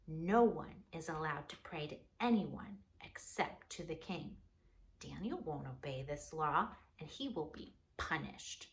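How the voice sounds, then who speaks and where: Woman acting a pompous, scheming royal flatterer, slow-spoken. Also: low voice, voice pushed down. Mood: sly, plotting. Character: scheming, fawning male royal helpers, oily flatterers.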